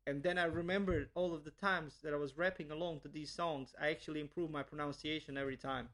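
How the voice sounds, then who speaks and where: A man's voice: fairly high pitch, fairly even intonation, fast, a bit bright. A young man from Bosnia, a language teacher, answering interview questions earnestly with many restarts and repeated words.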